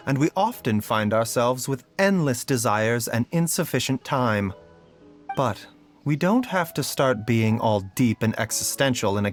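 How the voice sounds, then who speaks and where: An actor playing a gentle, scholarly doctor in a fantasy game, speaking in a measured, polite and cautious way. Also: male voice, mid-range voice. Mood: courteous musing. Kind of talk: scripted game voice acting. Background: background music.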